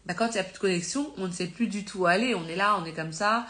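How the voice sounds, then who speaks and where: A woman's voice: mid-range voice, quick pace, a bit bright. A woman with a slight cold, teaching about faith on a live stream in an informal, conversational way, full of fillers and self-corrections. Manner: earnest and encouraging.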